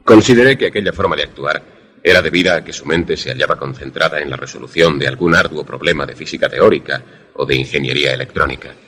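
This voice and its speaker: An actor playing a deferential former student who narrates a suspense radio drama in formal, measured, literary language with a husky voice. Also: male voice, rumbling low, fairly bright tone. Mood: measured, suspenseful.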